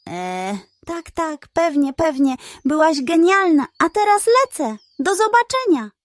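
A woman voicing a sly, greedy male pug dog in a children's storybook, a fast-talking schemer with a wheedling, theatrical manner. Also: sits high, very animated, no echo, pitched above the performer's own voice. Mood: nervous, hurried.

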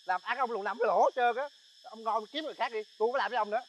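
A man's voice: very high-pitched, very fast. A man playing a fawning bandit sidekick who nags and complains at his boss. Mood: grumbling. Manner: flattering, then whiny nagging complaints. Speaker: comedy sketch actor. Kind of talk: comic sketch acting.

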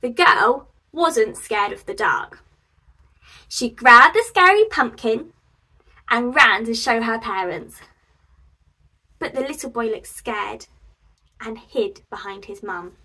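A woman in her twenties reading a picture book aloud to preschoolers, animated and expressive. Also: a little high, takes it slowly, long pauses, husky, recorded from a distance. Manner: animated, expressive, addressing young children. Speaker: children's storyteller and host.

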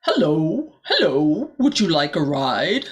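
A woman's voice: low voice, sing-song, slow pace, voice pushed down, heavily acted. An eager little frog from a children's storybook, acted out by a woman. The frog is friendly and helpful, and speaks in exclamations. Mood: cheery.

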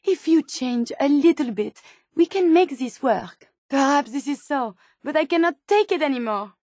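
An actress voicing a gentle, earnest female robot scientist turned human in a video game, with a French accent and formal, precise phrasing. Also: sits high, animated, normal speed, no echo, clean studio sound. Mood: pleading, earnest. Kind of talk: video game character dialogue.

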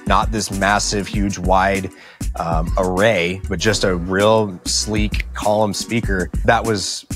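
Man, thirties, low register, conversational and emphatic interview speaker, music bed underneath. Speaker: church audio team member giving testimonial. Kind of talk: interview testimonial. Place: small indoor audio control studio, seated.

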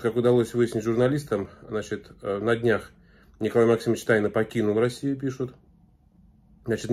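A man's voice: on the low side, darkish tone. Earnest man blogging to camera. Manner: earnest, many filler sounds and restarts. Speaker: video blogger. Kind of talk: casual commentary to camera. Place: small room indoors.

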